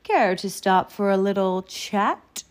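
A woman voicing a sly, greedy storybook wolf who talks in a smooth, courtly, mock-polite way. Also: mid-range voice, animated, slow pace. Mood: slyly charming.

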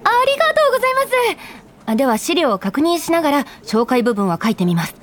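A voice actress playing a young woman office worker in a radio drama, polite, expressive and cheekily blunt. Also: high-pitched, fast, full character voice. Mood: earnest. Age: young adult. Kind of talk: anime-like radio drama acting with first-person narration.

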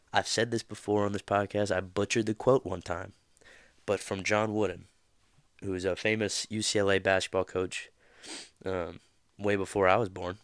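A man with a deep, slightly nasal voice hosts a motivational podcast, nursing a mild cold. He talks casually and loosely, circling his points with plenty of fillers. The sound is dry, with no room around it. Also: dry recording. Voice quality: a little nasal. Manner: casual, rambling, many fillers. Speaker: podcast host.

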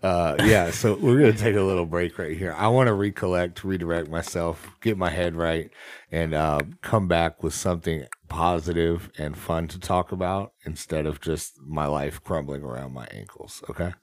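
Young male podcast co-host, sick and stuffed up, deep voice, dry close sound. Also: moderate pace, dry recording. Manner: sniffly, heavy breathing. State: sick and congested. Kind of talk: casual chat.

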